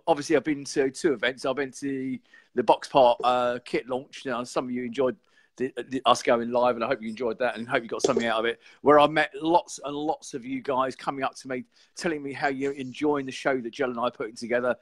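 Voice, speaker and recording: A man, hoarse and croaky, hosting a casual live stream show and chatting in a rambling way. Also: mid-range voice, steady tone, fairly quick. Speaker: podcast host.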